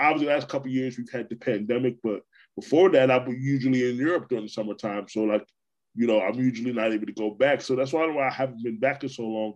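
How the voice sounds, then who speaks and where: Man, podcast host, from New York, casual chat, relaxed, repeats himself. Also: medium pitch, dull audio. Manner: relaxed, repeats words and restarts often. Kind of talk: casual conversation.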